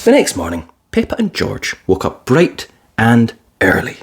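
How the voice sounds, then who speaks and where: A woman reading a children's picture book aloud as storyteller, expressive and playful with sound words. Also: very deep voice, unhurried, slight background noise.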